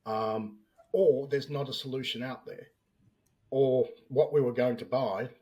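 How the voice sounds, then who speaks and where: A man's voice: mid-range voice, steady tone, measured pace, dark tone. An Australian man in his fifties, a business analyst speaking as a podcast guest and explaining plainly with everyday examples. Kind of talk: podcast interview.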